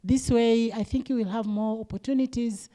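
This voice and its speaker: A woman's voice: on the high side, fairly even intonation, normal speed, warm tone. A woman from Tanzania speaking as a conference panelist, hesitant and often repeating words. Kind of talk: panel discussion remarks.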